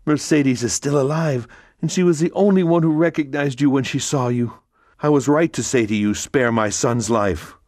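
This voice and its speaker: A man's voice: mid-range voice, normal speed, a little mellow, no echo, clean studio sound, voice pushed up, close to an everyday voice. A man voicing an anguished middle-aged noblewoman, a mother begging for her son's life in a desperate, imploring way. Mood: despairing.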